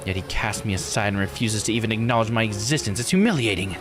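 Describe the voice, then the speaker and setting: A man's voice: lowish voice, fast, music in the background, lightly acted. A man voicing a resentful, haughty young woman, a scorned noble-born island diver, reading visual novel dialogue bluntly. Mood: bitter, indignant. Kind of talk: visual novel character read-aloud.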